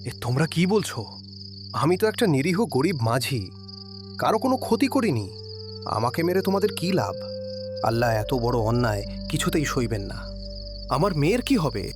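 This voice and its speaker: A man's voice: mid-range voice, very animated, moderate pace, pauses often, natural voice. A man playing an innocent, devoted boatman father in a cartoon story, pleading in distress. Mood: frightened pleading. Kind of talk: melodramatic cartoon story acting. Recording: loud music behind the voice.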